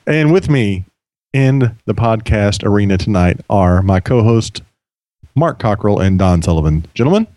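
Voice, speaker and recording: A man with a low, slightly hoarse voice hosts a casual podcast, rambling along with plenty of restarts. He speaks close to the microphone in a dry, dead-sounding room. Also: lively intonation, normal speed, mellow, no room sound. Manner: chatty, rambling, many restarts. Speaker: podcast host.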